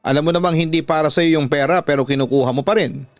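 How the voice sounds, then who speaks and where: Man voicing aggrieved young woman, indignant, pleading, raised pitch, dry sound, radio drama. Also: fast, dry recording, soft background music, lightly acted. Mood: angry. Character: hardworking, aggrieved grown daughter standing up to father. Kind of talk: Filipino radio drama acting.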